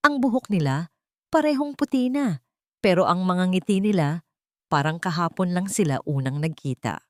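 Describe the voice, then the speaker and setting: A woman narrator plays a warm young Filipina caregiver in a love story. She speaks tenderly and earnestly, full of hope, in a dry, close sound. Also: on the low side, animated, normal speed, no room sound, close to an everyday voice.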